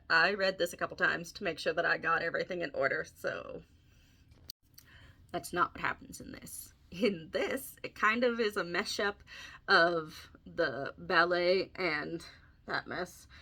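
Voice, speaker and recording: A woman's voice: on the low side, creaky, sharp tone, slightly rough. Casual, wry woman retelling a fairy tale. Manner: informal, wry asides. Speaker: podcast host and author.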